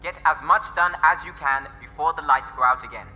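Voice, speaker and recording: A man plays an irritable sci-fi engineer with a forceful, slightly high voice. He speaks in articulate, theatrical exasperation, over a clear music bed. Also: a little fast. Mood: brisk. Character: irritable engineer brother, male starship tech expert. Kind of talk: sci-fi audio drama acting.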